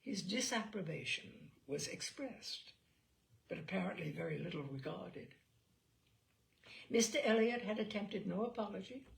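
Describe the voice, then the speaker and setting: A woman narrating a literary novel in an expressive, measured storytelling manner with deliberate pauses. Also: on the low side, creaky, a little hiss or hum, poor audio. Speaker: audiobook narrator.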